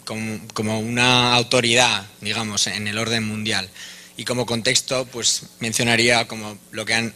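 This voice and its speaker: A young man from asking a long, halting question from the audience of a conference hall, on a handheld mic. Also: on the low side, pushed and bright. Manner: halting, many restarts. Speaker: journalist in audience.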